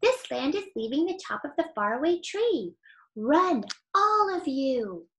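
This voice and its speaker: Moonface, a woman doing a high eager storybook man. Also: sing-song, unhurried, fairly bright tone, a little hiss or hum, full character voice. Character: excitable male magic-tree dweller Moonface. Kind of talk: read-aloud storybook character voice.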